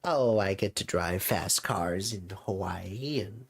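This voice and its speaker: A man's voice: low-pitched, takes it slowly, husky, heavily acted. A man voicing a playful, teasing non-human companion character in a casual chat.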